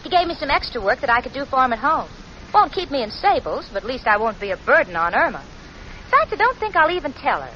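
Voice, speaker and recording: A woman's voice: sits high, sing-song, fast talker, pushed and bright, slight background noise, full character voice. An actress playing a sensible, wisecracking young working woman in a 1940s radio sitcom, dry and articulate. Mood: wry, resigned. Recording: muffled old radio recording with audience.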